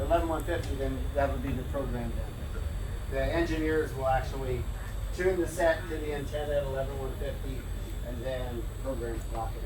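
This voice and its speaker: A man, a deacon, giving a casual technical tour, often restarting phrases as he explains. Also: mid-range voice. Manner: halting, restarts mid-phrase while explaining. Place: indoor transmitter room with machinery. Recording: echoey room, distant mic, equipment noise.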